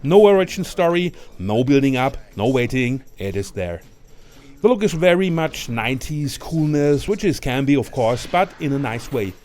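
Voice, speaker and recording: A man's voice: medium pitch, very animated, normal speed, a little mellow. A man presenting a scripted film review as a ranked list, in the manner of a video narrator. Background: music.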